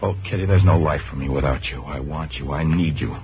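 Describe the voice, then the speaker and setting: An American actor playing an ardent, persuasive upper-class young suitor in a 1940s radio romance. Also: male voice, rumbling low, brisk, a little hiss or hum. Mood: pleading, ardent. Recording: tinny 1940s radio recording, faint music.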